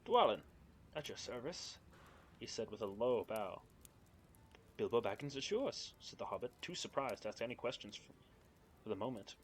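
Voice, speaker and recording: A man's voice: mid-range voice, sing-song, normal speed, pauses often, slight background noise. A young man on a live stream, reading a book aloud and chatting with viewers in a nervous, giggly way. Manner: giggly, nervous, chats with viewers between passages. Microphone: home streaming mic.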